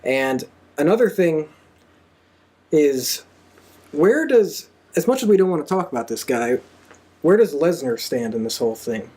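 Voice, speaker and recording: Man, fairly high voice, show host, casual panel chat. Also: animated, a little slow, long pauses, dark tone. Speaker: host of a wrestling predictions show. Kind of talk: casual panel chat about wrestling predictions.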